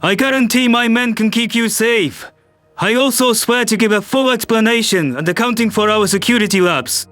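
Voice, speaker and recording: A harried human world leader. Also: male voice, high-pitched, moderate pace, a bit bright, dry recording, soft background music. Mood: tense.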